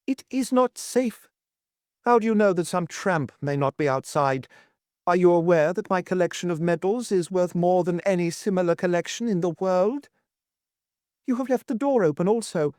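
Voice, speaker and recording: A man's voice: sits high, normal speed, darkish tone, dry recording, clean studio sound, pitched above the performer's own voice, natural voice. Brief: cold, mocking old nobleman, snarling and suspicious, read by a man. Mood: irritable. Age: older. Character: old nagging suspicious male lord collector. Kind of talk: audiobook reading.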